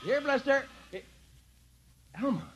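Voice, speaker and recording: A man's voice: very high voice, a little slow. An actor playing a breezy colonel and father in a 1950s radio drama. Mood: puzzled. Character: breezy Air Force colonel and family father. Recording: dull 1950s radio recording.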